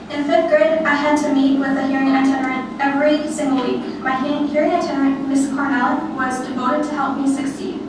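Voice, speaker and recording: A young woman with a high voice delivers a prepared personal speech in a very echoey room. Her intonation is quite flat, and she reads carefully, sometimes stumbling over words. The recording is distant and noisy. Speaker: student giving a presentation.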